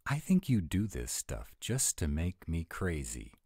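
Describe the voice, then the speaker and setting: A man's voice: deep voice, huge pitch swings, unhurried, a little mellow, husky, no echo, studio quality, full character voice. A man voicing a grumpy, rule-bound were-dragon boyfriend in a roleplay audio, speaking with fussy, exasperated emphasis.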